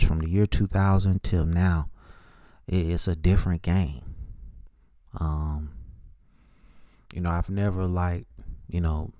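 A middle-aged man hosting a solo podcast, giving his sports opinions in an informal, measured, opinionated way. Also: low-pitched, mellow, no echo, clean studio sound. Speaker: podcast host.

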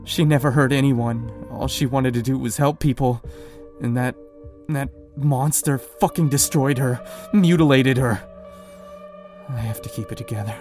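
A man's voice: medium pitch, normal speed, darkish tone, soft background music, natural voice. A man playing an anguished, foul-mouthed survivor of a zombie apocalypse in a horror audio drama. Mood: grieving, angry. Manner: grim, profane, anguished.

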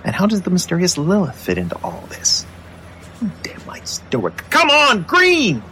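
A man's voice: high-pitched, huge pitch swings, moderate pace, pushed and bright, slight background noise, exaggerated voice. A man playing a weary, jittery city detective in an old-time horror radio play, stammering and flustered as he talks. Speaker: detective lead in an audio drama.

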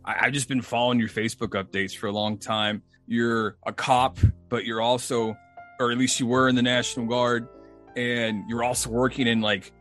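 A man's voice: mid-range voice, flat delivery, normal speed, a bit bright, soft background music. A man in his thirties hosting a casual interview, rambling and laughing easily. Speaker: podcast host.

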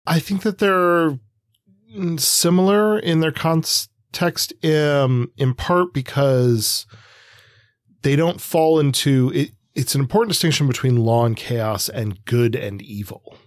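A man co-hosting a podcast, giving conversational analysis in a hesitant, measured way, thinking aloud as he goes. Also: a little high, dry recording, studio quality. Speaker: podcast co-host, comics expert.

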